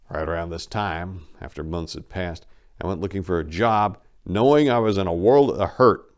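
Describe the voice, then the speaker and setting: Male storyteller, low-pitched, for dry, wry first-person narration with a casual, conversational host feel. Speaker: host reading an autobiography aloud. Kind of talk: dramatic first-person audiobook reading with casual asides.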